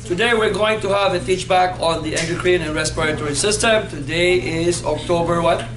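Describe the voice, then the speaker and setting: A man in his fifties teaching anatomy in a classroom, playful and animated, quizzing his students as he goes. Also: sits high, brisk, a crowd in the background. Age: 50s. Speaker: anatomy instructor. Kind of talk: classroom lecture with call-and-response. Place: classroom in front of a whiteboard. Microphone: far camera. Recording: echoey classroom with background noise.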